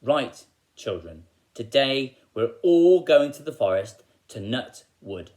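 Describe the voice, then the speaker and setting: A man's voice: mid-range voice, animated, slow, a little mellow. Man playing a cross, bossy fairy-tale mother.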